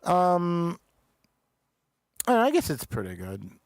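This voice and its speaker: Slow-talking man with a clear, dry sound. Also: sits high, wildly swooping pitch, dry recording. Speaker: show host reviewing television episodes. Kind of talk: casual review chat.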